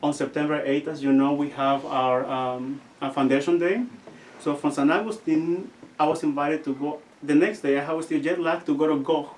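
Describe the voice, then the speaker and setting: A young man with a Guatemalan accent speaks in a fairly high voice, telling his stories in a casual interview. He often stops mid-sentence to hunt for the right word. He sits a little back from the microphone. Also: fairly even intonation, a little mellow, slight background noise. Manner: halting, searching for words. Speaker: seminarian guest. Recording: distant from the mic.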